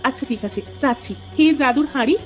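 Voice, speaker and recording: A tender, excitable farmer's wife from a village cartoon, voiced by a woman. She speaks high and fast in an expressive way, with faint music underneath. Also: close to an everyday voice. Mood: wondering. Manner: expressive, exclaiming. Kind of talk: cartoon voice acting.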